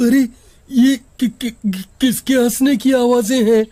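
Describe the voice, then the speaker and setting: Guy doing a worried little schoolboy, really high voice, slow and pleading, cartoon story style. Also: fairly even intonation, dark tone, no room sound. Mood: frightened. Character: anxious, pleading young schoolboy in a horror tale. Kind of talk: animated story dubbing in Hindi.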